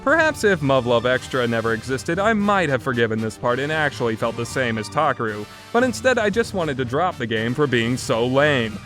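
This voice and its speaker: A man's voice: medium pitch, big pitch swings, brisk, hardly any pauses, a bit bright, soft background music. A man giving opinionated review commentary in a blunt, sarcastic, emphatic manner. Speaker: video game reviewer.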